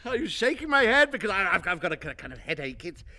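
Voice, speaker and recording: An actor playing a nervous, guilty murderer in a radio play, stammering and repeating himself in an over-eager, ingratiating way. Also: male voice, high voice, very animated, fast talker, bright, forceful tone, full character voice. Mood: frantic panic. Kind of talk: theatrical radio play acting.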